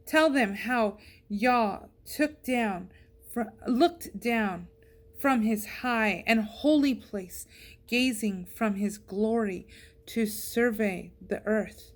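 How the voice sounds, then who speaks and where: A woman's voice: a little high, takes it slowly. A woman reading a psalm aloud as a scripture reader.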